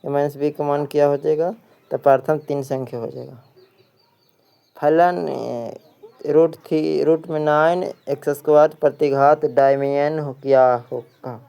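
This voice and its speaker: Male Indian-accented exam tutor, unhurried and very smooth-voiced, reads practice questions and repeats the answers. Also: a little high, steady tone, warm tone. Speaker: exam coaching teacher. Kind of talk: reading quiz questions and answers aloud.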